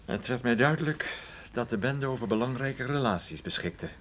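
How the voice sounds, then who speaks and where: A man's voice: mid-range voice, lively intonation, moderate pace, background noise, natural voice. Een man die in een hoorspel een droge, sardonische en onbuigzame privédetective speelt. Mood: explaining. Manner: dry, sardonic. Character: wry, unyielding male private detective confronting a criminal. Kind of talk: radio drama acting.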